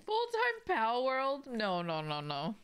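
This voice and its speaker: A woman's voice: a little high, huge pitch swings, takes it slowly, fairly bright tone, clean tone. A young woman game streamer chatting casually with her viewers while playing, informal, slangy and prone to swearing. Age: young adult. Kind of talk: casual livestream chat with viewers while gaming. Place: indoors, small home room with shelves.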